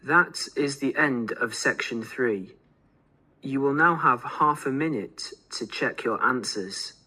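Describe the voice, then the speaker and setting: Male announcer, unhurried, formal, slightly husky, scripted exam instructions. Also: medium pitch, sharp tone, poor audio.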